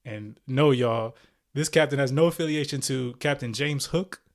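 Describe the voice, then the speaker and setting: A man's voice: mid-range voice, normal speed. A man in his forties hosting a podcast, reading a guest's introduction in a chatty, playful way, with fillers and self-corrections. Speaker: podcast host.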